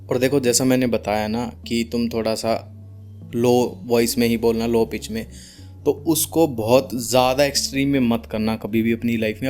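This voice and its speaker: A young man with an Indian accent talks like an informal speaking coach over faint guitar music. His voice is deep, with a mid-range pitch for a man. He stays calm and unforced, sprinkles in English words and pauses deliberately for emphasis. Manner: mixes English words into speech. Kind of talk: informal advice talk to camera.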